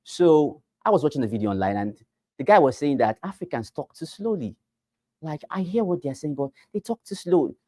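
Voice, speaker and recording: A man's voice: on the high side, lively intonation, fairly quick, no room sound, studio quality. A man with a Nigerian accent giving a training talk to realtors, repeating points and asking rhetorical questions. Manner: swings between loud bursts and quiet asides. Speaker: real estate trainer.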